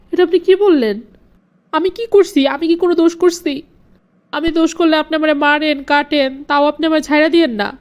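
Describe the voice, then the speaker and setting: A woman's voice: sits high, steady tone, brisk, muffled recording, close to an everyday voice. A woman playing a meek, devoted young housewife in a cartoon drama, submissive and apologetic in manner. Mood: tearful pleading.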